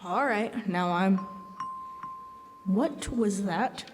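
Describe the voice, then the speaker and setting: A woman's voice: medium pitch, very slow, over music. A young woman playing an exasperated, bossy middle-aged father in an old-style radio comedy performed on stage. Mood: firm, weary. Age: teens or young adult. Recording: live stage hall, long echo.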